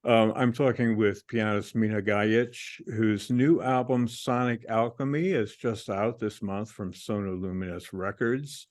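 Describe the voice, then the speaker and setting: Man, radio host, deep voice, polite measured questions. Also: fairly even intonation, darkish tone. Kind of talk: public radio interview.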